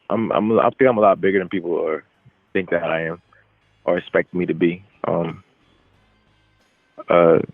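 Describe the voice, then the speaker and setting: A young man, a boxer, giving casual, halting interview answers with repeated phrase starts over a telephone. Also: deep voice, dry recording. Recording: narrow, tinny phone line.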